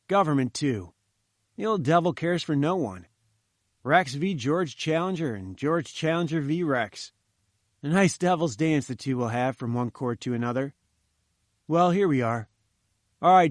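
A man's voice: fairly high pitch, no room sound, clean studio sound, close to an everyday voice. A man voicing a breezy, jovial young male journalist.

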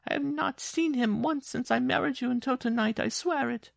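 A man's voice: extremely high pitch, normal speed, gravelly, no echo, pitched above the performer's own voice, heavily acted. A man voicing a terrified wife in an audiobook story, sobbing and imploring. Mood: tearful, imploring. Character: frantic pleading circus woman, terrified wife.

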